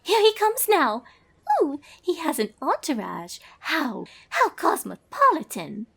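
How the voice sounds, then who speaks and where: A woman's voice: high voice, wildly swooping pitch, takes it slowly, gravelly, fairly bright tone, voice pushed up, exaggerated voice. A woman voicing a haughty, refined young female pony, easily put out, with an affected, dismissive manner in a cartoon-style story.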